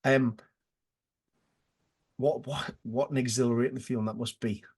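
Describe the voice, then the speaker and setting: A man hosts a casual podcast with a slightly husky, breathy voice. He reacts eagerly to his guest and often trips over his words and restarts before landing his question. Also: medium pitch, fairly even intonation, moderate pace, a little mellow. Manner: enthusiastic, stumbling restarts, lots of "wow". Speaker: podcast host.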